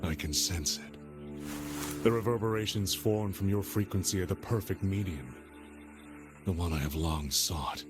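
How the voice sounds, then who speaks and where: An actor playing an arrogant, enigmatic scholar-villain in a video game, speaking in a measured, pompous, theatrical way. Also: male voice, low-pitched. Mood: hushed obsession. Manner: measured, pompous, philosophical delivery. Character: arrogant, enigmatic male scholar-villain, coolly obsessive and grandiose. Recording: loud music behind the voice. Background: loud game music.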